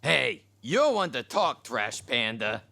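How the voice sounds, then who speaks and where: A man voicing a grumpy, stubborn, proud monster sidekick with a gravelly, slangy, indignant voice in a cartoon audio drama. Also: mid-range voice, sing-song, slow, sharp tone, exaggerated voice. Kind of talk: animated audio drama acting.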